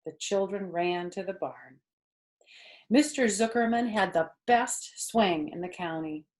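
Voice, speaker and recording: A woman storyteller narrating a children's book in an expressive reading style. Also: mid-range voice, measured pace.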